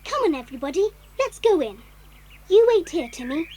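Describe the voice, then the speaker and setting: An actress playing an eager, friendly child adventurer with a dog in a children's audio drama. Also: female voice, very high voice, very animated, a little fast, mellow. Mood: eager, pleading. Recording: clear background noise with birdsong.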